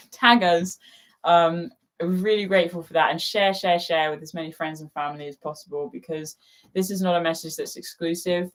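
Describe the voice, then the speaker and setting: A woman's voice: lowish voice, a bit bright, rough recording. A young English woman hosting a casual live chat, chatty and laughing often. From England. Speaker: host.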